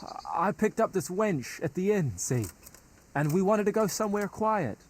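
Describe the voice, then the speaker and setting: An actor playing a cocky, foul-mouthed young medieval rogue in a video game. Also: male voice, high voice, very animated, moderate pace. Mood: aggrieved. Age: young adult. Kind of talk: naturalistic video game acting.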